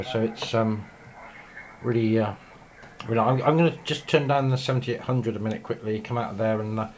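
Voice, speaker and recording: A man giving a casual, ad-libbed video tour in a hesitant, unhurried, rambling way, recorded on a handheld camera in a small room. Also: fairly low pitch, darkish tone. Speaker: amateur radio operator filming shack tour. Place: small indoor radio room. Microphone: handheld camera mic. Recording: noisy handheld camera sound.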